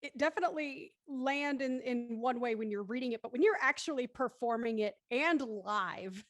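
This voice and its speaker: A woman's voice: on the high side, moderate pace, no echo. A woman hosting a casual group discussion, talking informally as moderator. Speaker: host of a cast post-show discussion.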